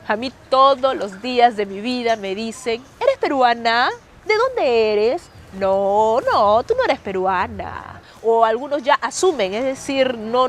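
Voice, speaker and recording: A woman's voice: on the high side, slight background noise. A Peruvian woman with a Peruvian Spanish accent, speaking frankly and assertively about her life. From Peru. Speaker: interviewee. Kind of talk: personal testimony.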